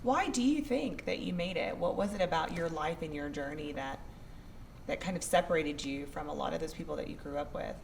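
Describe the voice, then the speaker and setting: A woman's voice: sits low, a little fast, vocal fry, a little hiss or hum. A woman interviewing a guest in a conversational way, asking curious questions with light teasing.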